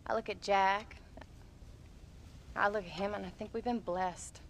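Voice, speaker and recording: A woman playing a wry, sharp-tongued but affectionate frontier wife with an American Western accent in a video game. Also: medium pitch, fairly even intonation, a little slow, a little hiss or hum, close to an everyday voice. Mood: tender, teasing. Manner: wry, teasing.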